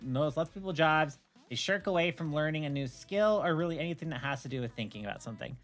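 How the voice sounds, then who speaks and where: A woman's voice: low-pitched, quick pace, faint music behind. A woman chatting casually on a livestream, telling stories in a blunt, opinionated way. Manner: opinionated, blunt, animated storytelling with swearing. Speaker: streamer, host.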